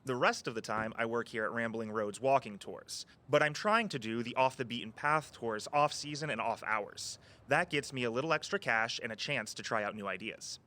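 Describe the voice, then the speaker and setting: An actor playing a playful, theatrical tour guide with a flowery turn of phrase in an audio drama. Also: male voice, mid-range voice, moderate pace, hardly any pauses, bright, forceful tone, close to an everyday voice. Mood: confiding. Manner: grandiose mock-archaic speech.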